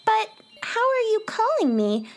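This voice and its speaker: A plucky young girl adventurer, voiced by a woman in a children's radio play. Her voice is high and swoops up and down dramatically. She sounds wide-eyed and curious. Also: sing-song, unhurried, faint music behind, full character voice. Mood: surprised, curious. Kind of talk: children's audio drama acting.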